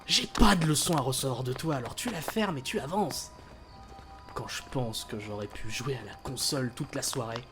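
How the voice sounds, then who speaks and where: A man's voice: mid-range voice, lively intonation, normal speed, lightly acted. A man playing a surly, foul-mouthed teenage big brother, slangy and dismissive, in an audio drama. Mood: irritated. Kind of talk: French audio drama acting. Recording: music behind the voice.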